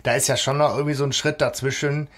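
A man's voice: medium pitch, little pitch movement, quick pace, a bit bright. A man co-hosting a podcast, chatting casually about a story. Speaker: podcast co-host. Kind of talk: casual banter about an audio drama series.

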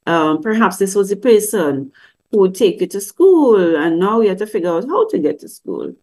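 A woman's voice: medium pitch, very animated, normal speed, darkish tone. Middle-aged woman, counselor teaching over a webcam; conversational, lots of questions. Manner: conversational, asks many questions, acts out quoted voices. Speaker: grief counselor teaching at a church service. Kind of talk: teaching talk with slides. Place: indoor room. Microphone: webcam on a video call.

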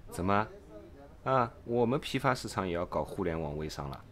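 A man's voice: low voice, animated, moderate pace, a bit bright. A man playing an eager, impatient purchasing agent in a parody radio drama, exclamatory and theatrical as he chums up to his boss. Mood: eager, questioning.

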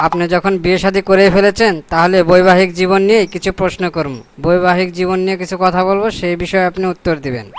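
A man's voice: sits high, a little hiss or hum, lightly acted. A man voicing a scheming, self-important corrupt boss in a comic cartoon, pompous and sly, with colloquial Bangladeshi speech. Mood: mock-formal questioning. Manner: pompous, mock-formal, sly.

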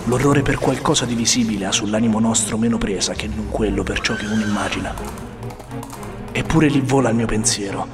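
An actor playing a troubled, ambitious warrior lord in a brooding Shakespearean radio soliloquy. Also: male voice, on the low side, a little fast, over music, close to an everyday voice. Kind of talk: theatrical Shakespearean radio drama.

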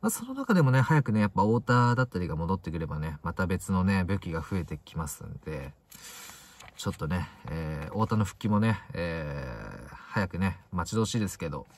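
A man's voice: deep voice, sing-song, a little fast. A man in his twenties giving casual solo football commentary, stressing the starts of phrases and then trailing off. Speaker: football fan vlogger. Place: inside a parked car.

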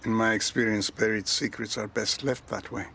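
An actor playing a courteous, guarded landlord in a radio soap, formal and polite. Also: male voice, on the low side, steady tone, moderate pace, vocal fry, sharp tone, a little hiss or hum. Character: courteous, guarded landlord and painter, faintly secretive.

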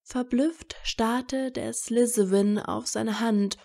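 A woman narrates a scripted story at an unhurried pace. Her voice is mellow and mid-pitched, with noticeable fry. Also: fairly even intonation.

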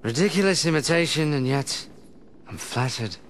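An actor voicing a sly, swaggering pirate captain for a video game, with a slurred, wry drawl. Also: male voice, a little high, animated, moderate pace, a bit bright, slight background noise. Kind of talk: video game cutscene acting. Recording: game music underneath.

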